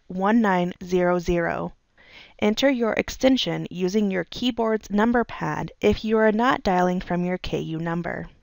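A woman narrates a software tutorial from a script. Her delivery is measured and methodical, going step by step. Her mid-pitched voice has a clear fry to it, recorded very dry with no room sound. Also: dry recording.

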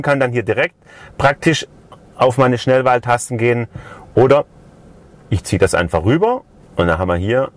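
Chatty Swabian man, deep voice. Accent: Swabian German. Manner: chatty, explains step by step with tag questions. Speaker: car reviewer. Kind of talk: casual car review walkthrough. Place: parked car interior.

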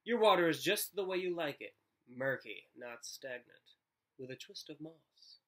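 A man's voice: a little high, expressive, unhurried. A man voicing an earnest sixth-grade boy in a children's story reading. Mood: soothing. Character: earnest sixth-grade boy, soothing his pet frogs.

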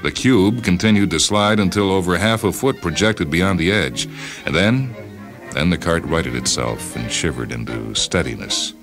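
Male narrator, extremely low bass voice, for dramatic short-story reading with deliberate pauses, music underneath. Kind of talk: scripted narration of a science fiction short story. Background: music behind the voice.

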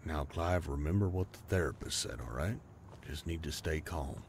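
A man's voice: very deep voice, moderate pace, creaky, slight background noise. A man playing a crude young bully in an audio drama, mocking and swearing. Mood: cautioning. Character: sneering, crude young male bully sidekick. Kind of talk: audio roleplay acting.

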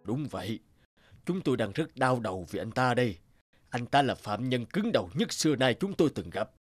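A big, stern prison lieutenant in a dubbed cartoon, his husky voice measured and official. He stays polite while sounding weary of a stubborn prisoner. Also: medium pitch, sing-song, no echo, soft background music. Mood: formal. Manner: formal, official.

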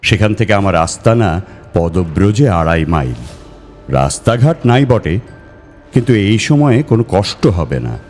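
A man's voice: fairly low pitch, sing-song, a little slow, darkish tone. A man voicing a tall-tale teller's letter in a story reading, declamatory and persuasive. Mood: inviting. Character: tall-tale-telling man writing a challenging, inviting letter. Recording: long reverb over music.

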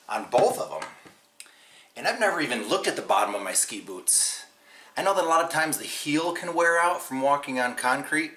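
A man's voice: mid-range voice, pushed and bright, slight background noise. A man in his forties chatting casually in a how-to vlog, upbeat and full of ums, recorded by a camera across a desk in a small room. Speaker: vlogger.

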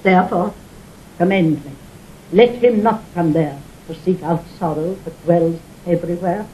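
An actress as a slow, grieving noble widow. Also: female voice, lowish voice, mellow, close to an everyday voice. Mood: desolate grief. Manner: declamatory, halting, sorrowful verse delivery. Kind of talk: classical Shakespearean audio drama acting. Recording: noisy 1960 audio drama recording.

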